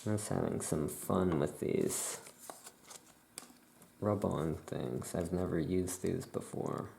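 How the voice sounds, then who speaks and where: A soft-spoken ASMR host playing a witch shopkeeper, casually improvising and thinking aloud in an unhurried, rambling way. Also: low-pitched, gravelly, a little hiss or hum.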